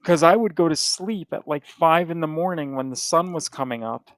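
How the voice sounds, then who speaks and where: A man's voice: on the high side, moderate pace, no echo. A man hosting a podcast, chatting casually in a rambling, profane way with frequent restarts. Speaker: podcast host. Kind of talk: casual chat.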